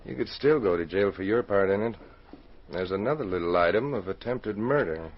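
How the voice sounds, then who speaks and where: A man's voice: lowish voice, expressive, a little fast. A man acting a hard, distrustful ex-convict in a 1940s radio drama, insistent and menacing, on a muffled old recording. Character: hard, distrustful ex-convict pressing a blackmail demand.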